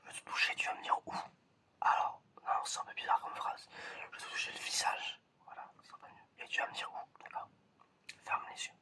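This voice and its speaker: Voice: brisk, sharp tone. An ASMR performer whispering a roleplay exam in a hesitant murmur, often repeating short phrases. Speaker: ASMR performer playing an examiner.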